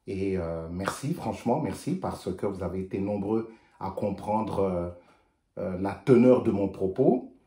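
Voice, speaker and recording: Low-voiced man in an echoey office. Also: mellow. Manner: earnest, halting, many hesitations and repetitions; rises to emphatic rhetorical refrains. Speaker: political commentator addressing followers. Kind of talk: spontaneous video message. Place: office during a break.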